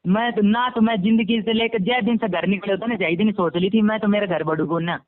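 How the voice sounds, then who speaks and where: A man's voice: high-pitched, fairly quick. A man with a Haryanvi accent in an informal domestic argument on a phone call, argumentative and repeating himself. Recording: muffled narrowband phone call recording.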